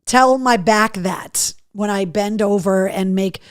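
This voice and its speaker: A middle-aged woman hosting a live show, chatting casually and candidly with viewers in a slightly hoarse voice, with a cheeky streak. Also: medium pitch, slow pace, no echo, studio quality. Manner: candid, cheeky, mildly sweary. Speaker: podcast and livestream host. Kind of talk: casual live chat to viewers, reading out comments.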